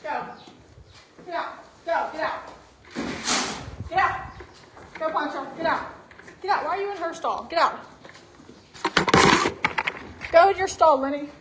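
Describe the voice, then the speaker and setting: A woman in her early forties with a high voice, heard over loud noise in a live barn recording. She talks casually as she does chores, often repeating herself and addressing the animals around her. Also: moderate pace, long pauses. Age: early 40s. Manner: chatty, repeats phrases, calls out to animals. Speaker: farm livestreamer. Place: horse barn with stalls on a farm.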